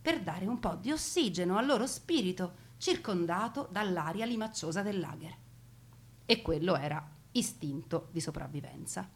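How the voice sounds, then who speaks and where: A woman performing a theatrical narrated monologue, emphatic and rhythmic, stretching out words in lists. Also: medium pitch. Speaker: stage actor and narrator.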